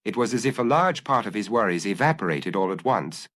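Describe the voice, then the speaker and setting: Man, audiobook narrator, lowish voice, animated melodic range, dry close sound, measured literary storytelling. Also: dry recording. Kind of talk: scripted detective story narration.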